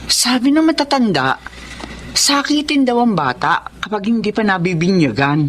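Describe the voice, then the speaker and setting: A woman plays a middle-aged Filipino wife, practical and plain-spoken. Her medium-pitched voice is very expressive, in the manner of radio drama, with faint noise behind it. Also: moderate pace, close to an everyday voice. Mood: concerned, frank. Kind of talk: Tagalog radio drama acting.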